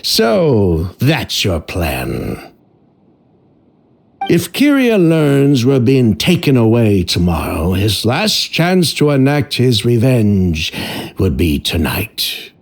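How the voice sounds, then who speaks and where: A bitter, defiant young man, drawling slowly with a gravelly edge and a lively, swinging pitch. He sounds scornful and worn down, a game cutscene figure. Faint music sits behind him. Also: exaggerated voice. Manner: slow, scornful. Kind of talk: video game voice acting.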